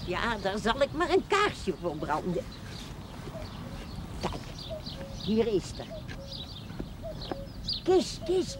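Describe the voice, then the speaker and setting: An actress playing a chatty, plain-spoken old spinster smallholder in a television period drama, folksy and wry. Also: female voice, mid-range voice. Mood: cheerful, teasing. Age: older. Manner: folksy, blunt, wry, talkative. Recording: noisy old TV soundtrack with farmyard birds.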